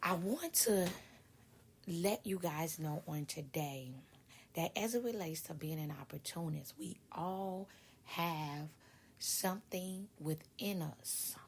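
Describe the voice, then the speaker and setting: A woman who writes and speaks on leadership, giving a casual motivational talk to her viewers in a hoarse voice, stressing her points by repeating them. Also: deep voice, slight background noise. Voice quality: raspy, husky. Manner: emphatic, repeats key points.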